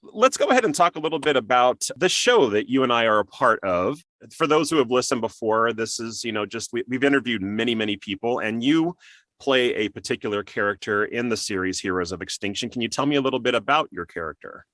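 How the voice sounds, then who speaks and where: A man's voice: mid-range voice, animated, brisk, fairly bright tone, no echo. An American man hosting an interview show in a conversational way.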